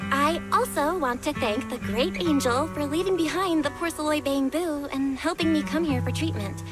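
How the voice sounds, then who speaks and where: A woman's voice: high-pitched, moderate pace, lightly acted. A woman acting a caring, determined young heroine in an action game, sincere and eager. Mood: playful. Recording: loud music behind.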